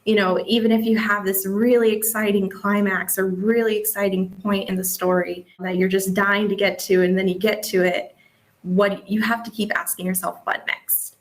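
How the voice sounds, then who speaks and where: A woman leads a casual online-style lesson in the role of an editor. She speaks at a middle pitch in a conversational, informal way, with "like" and "you know" sprinkled through her explanations. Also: little pitch movement, moderate pace, rough recording. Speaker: editor presenting a workshop.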